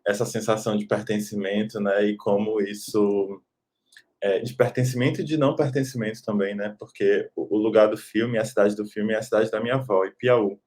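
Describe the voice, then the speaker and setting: A man's voice: lowish voice, steady tone, brisk, darkish tone. A man from Salvador, a film director speaking reflectively and hesitantly on an online panel over a video call. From Brazil, Salvador. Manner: reflective, hesitant, many fillers.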